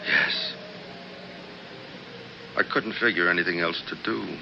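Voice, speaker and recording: Low-voiced, grimly resolved killer husband. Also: male voice, steady tone, measured pace, vocal fry. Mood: grim confession. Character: grimly resolved adulterous husband turned killer, coldly calm. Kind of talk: 1970s radio drama acting. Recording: noisy, muffled 1970s radio broadcast.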